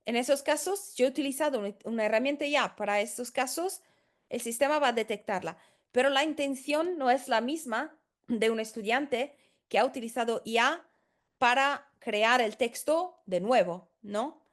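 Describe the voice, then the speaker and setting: A woman's voice: on the high side, moderate pace. A woman who is not a native Spanish speaker, a consultant explaining in a tutorial, hesitant with many fillers and restarts. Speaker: senior professional services consultant.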